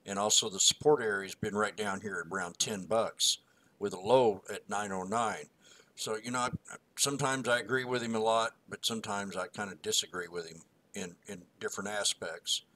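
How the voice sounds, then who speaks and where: Male co-host, lowish, forceful tone, casual rambling chart analysis voice-over. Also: fairly even intonation, moderate pace. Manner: rambling, folksy, walks through price levels. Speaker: stock market co-host.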